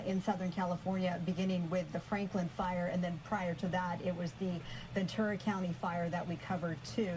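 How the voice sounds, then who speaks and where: A woman's voice: lowish voice, background noise. A woman with an American accent anchoring live breaking news on television.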